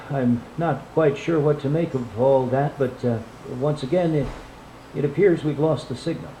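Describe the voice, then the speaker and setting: A man's voice: medium pitch, unhurried, warm tone, natural voice. A man playing a flustered, formal television news anchor covering a crisis in a radio drama. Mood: uneasy, formal. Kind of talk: live newscast radio drama acting. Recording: echoey room playback, faint noise.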